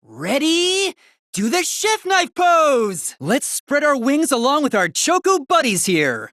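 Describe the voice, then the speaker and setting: High-pitched, bubbly park show leader. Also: female voice, very animated, slow, a bit bright, dry recording, clean studio sound, full character voice. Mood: bubbly, encouraging. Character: bubbly female park performer leading a pose-along. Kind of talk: video game character dialogue.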